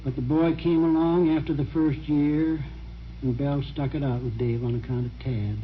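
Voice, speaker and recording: A man's voice: fairly high pitch, steady tone, normal speed, natural voice. An actor playing a plain-spoken, determined small-town man with a folksy, persistent manner in an old-time radio drama. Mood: firm, persuading. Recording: muffled, slightly noisy vintage radio recording.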